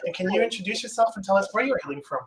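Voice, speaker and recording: A man hosting an online panel, introducing guests with a welcoming, slightly halting manner. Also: high-pitched, animated. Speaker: host. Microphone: video call. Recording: noisy online call.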